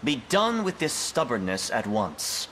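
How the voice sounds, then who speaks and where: A man's voice: mid-range voice, very animated, measured pace, a bit bright, slight background noise. A man playing an earnest, loyal knight in a fantasy game, speaking in a formal, stern, courtly manner. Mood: indignant, stern. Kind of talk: video game fantasy acting.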